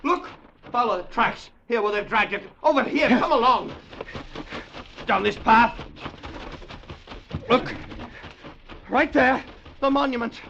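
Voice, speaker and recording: An actor playing a wary, analytical gentleman scientist with clipped delivery in a 1950s radio drama. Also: very high voice, animated, slow pace, gravelly, pauses often, heavily acted. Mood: panicked. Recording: muffled, noisy old radio recording.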